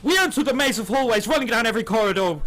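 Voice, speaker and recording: High bright animated man reading aloud. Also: very fast, soft background music. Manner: theatrical, ad-libbing, jokey. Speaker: gaming channel host. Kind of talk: reading a visual novel aloud with commentary.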